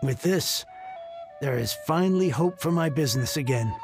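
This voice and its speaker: A stammering, high-voiced anxious merchant. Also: male voice, expressive, moderate pace, over music. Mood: grateful. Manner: stammering, flustered. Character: struggling, nervous small-business merchant man. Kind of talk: video game voice acting.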